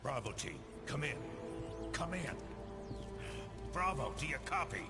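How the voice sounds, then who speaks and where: An actor playing a commanding veteran spy colonel in a game cutscene, brusque and mocking. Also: male voice, a little high, very animated, measured pace. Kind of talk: video game cutscene acting. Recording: loud music and gunfire behind.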